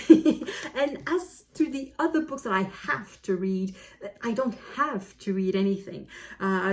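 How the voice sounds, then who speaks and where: A woman book vlogger chatting casually to camera in an animated, self-deprecating way. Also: medium pitch.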